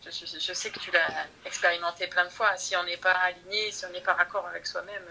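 Woman guest, reflective and halting, sharp bright tone, slight background noise. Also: fairly low pitch, flat delivery, vocal fry, poor audio. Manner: thoughtful, hesitant, many restarts. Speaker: guest in Montessori training. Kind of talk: conversational interview.

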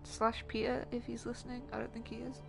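A woman's voice: fairly high pitch, flat delivery, fairly quick, creaky, music in the background, rough recording. A young woman chatting casually while playing a game, teasing, giggly and swearing freely. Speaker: streamer. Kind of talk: casual gaming chat.

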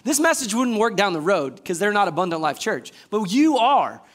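A man in his thirties from Georgia, an assistant pastor preaching a lively sermon on a church stage and asking the congregation questions. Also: sits high, normal speed. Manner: enthusiastic, gestures widely, asks the congregation questions. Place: church stage before a screen.

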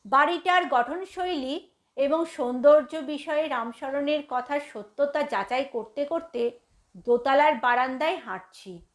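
A woman narrates a story from a script in an expressive storytelling style. Her voice is high in pitch and she takes her time, with a very slow, measured pace.

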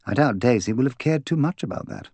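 Man voicing an imperious, gossipy woman, very animated. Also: medium pitch, fast talker, breathy edge, dry recording. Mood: gossipy, confiding. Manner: bossy, emphatic, voluble. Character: imperious, gossipy, opinionated English woman confiding at length. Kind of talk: audiobook character dialogue.

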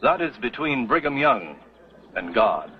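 A stern pioneer church elder. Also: male voice, mid-range voice, big pitch swings, a little slow. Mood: solemn. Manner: solemn, firm. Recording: dull old TV soundtrack with faint hiss.

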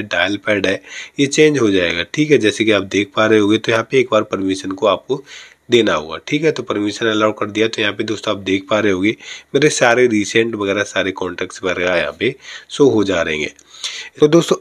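A man presenting a step-by-step tech tutorial, instructing viewers directly as friends. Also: on the low side. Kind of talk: step-by-step phone tutorial narration.

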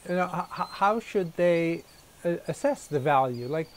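Higher-voiced Dutch-native host. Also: male voice, lively intonation, normal speed. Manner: earnest, hesitant with restarts. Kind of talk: casual chat. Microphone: lapel.

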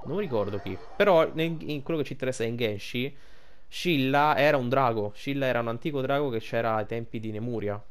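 A man giving casual, colloquial game commentary, rambling and thinking aloud. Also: medium pitch. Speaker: gameplay video creator. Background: game music.

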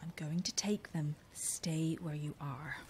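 An actress playing a prim, wary romance writer, fussy and indignant, in a horror audio drama. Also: female voice, low voice, fairly even intonation, takes it slowly, heavy background noise. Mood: wary, hushed.

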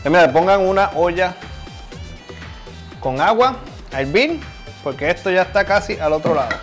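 A man's voice: sits high, lively intonation, moderate pace. A man in his thirties with a Puerto Rican accent, chatting casually and jokily as he hosts and demonstrates cooking. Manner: joking, colloquial. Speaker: chef and cooking show host. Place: home kitchen. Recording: music playing behind the voice. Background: music.

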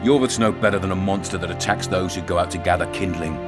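Guy doing a shady blunt town commander from a fantasy game, deepish voice, dry sarcasm, music behind. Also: close to an everyday voice. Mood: blunt, persuasive. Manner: sardonic, blunt, measured. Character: cunning, hard-bitten male town commandant in dark fantasy. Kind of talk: dramatic video game acting.

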